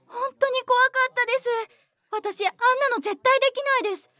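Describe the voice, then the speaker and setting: A woman's voice: very high voice, a little fast, sharp tone. An actress playing a sweet, timid young woman with a cutesy, girlish way of talking in an audio drama. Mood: meek, admiring. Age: young adult.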